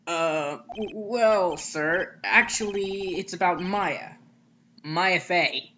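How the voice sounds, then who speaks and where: A man's voice: high voice, very slow, pushed and bright, slight background noise, full character voice. A man voicing an earnest young lawyer in a video game fan dub, stammering when flustered and given to wry asides. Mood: nervous, hesitant. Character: earnest young male lawyer, nervous, wryly exasperated.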